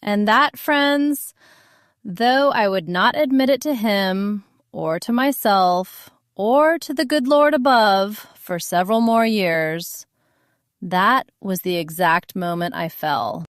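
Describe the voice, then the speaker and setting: Woman playing a slow-talking, proud country wife. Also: mid-range voice, no echo, studio quality, natural voice. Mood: tender. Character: proud, dry-witted country wife, former small-town beauty. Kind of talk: audio drama acting.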